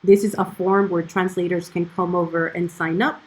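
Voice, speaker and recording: A woman's voice: fairly low pitch, flat delivery, moderate pace, rough recording. Hesitant woman walking through a screen. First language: not Icelandic. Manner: hesitant, full of ums and restarts. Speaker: dubbing producer. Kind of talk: casual screen-share walkthrough.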